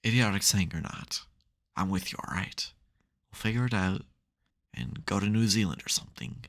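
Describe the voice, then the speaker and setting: A male supervillain as acted by a man speaks in a very deep voice with heavy fry. He teases dryly and grumbles, yet stumbles into flustered softness. It is an intimate ASMR audio drama performance. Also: animated, normal speed. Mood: reassuring, sheepish. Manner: dry teasing, hesitant, mock-grudging. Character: supervillain nemesis, secretly soft, teasing and flustered.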